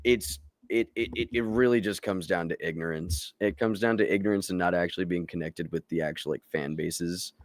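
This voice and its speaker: A man's voice: sits low, a little fast, few pauses, no room sound, studio quality. A man co-hosting a casual live discussion, opinionated and emphatic, swearing freely as he makes his points. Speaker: podcast co-host.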